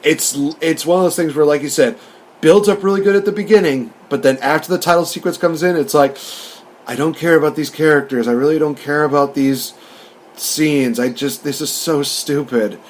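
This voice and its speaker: A man's voice: fairly high pitch, normal speed, slight background noise. A man co-hosting a podcast, talking casually and rambling as he acts out remembered remarks in a review discussion. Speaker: podcast co-host. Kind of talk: casual movie review chat.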